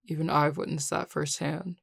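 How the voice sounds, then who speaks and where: A woman's voice: sits low, little pitch movement, moderate pace, creaky, husky, dry recording, clean studio sound, exaggerated voice. A woman playing a grumpy young male coworker in an audio roleplay, curt and sarcastic with exasperated delivery. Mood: sardonic, irritated. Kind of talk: scripted audio roleplay acting.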